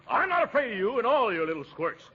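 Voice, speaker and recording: An actor playing a pompous, blustering middle-aged uncle with exclamatory delivery and nervous chuckles, in 1940s radio comedy style. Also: male voice, very high-pitched, big pitch swings, fast. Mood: indignant. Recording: dull, noisy 1940s radio recording.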